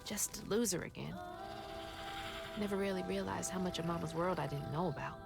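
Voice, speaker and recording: A woman's voice: lowish voice, fairly quick, vocal fry. A woman acting as a determined, emotional young woman searching for her mother in a video game cutscene. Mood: reflective, wistful. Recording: game music behind the voice.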